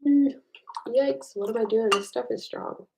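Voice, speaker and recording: A woman's voice: fairly high pitch, measured pace, creaky, heavy background noise. Chatty American woman hosting a livestream. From United States. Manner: chatty, self-deprecating, reads viewer comments aloud. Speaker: livestream host. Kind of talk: casual live chat with viewers. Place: home at night.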